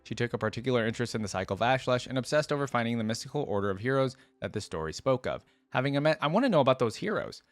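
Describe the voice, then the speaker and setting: A man's voice: medium pitch, animated, rapid-fire, few pauses, dry recording. A man hosting a reaction video, casually reading aloud and musing with his own commentary.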